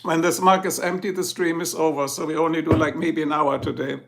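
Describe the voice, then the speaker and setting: A man's voice: a little high, fairly even intonation, fairly quick, gravelly, fairly bright tone, slight background noise. A middle-aged man with a German accent, chatting casually with viewers on his livestream and answering their comments. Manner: chatty, answers viewers one by one, chuckles often. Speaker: livestream host.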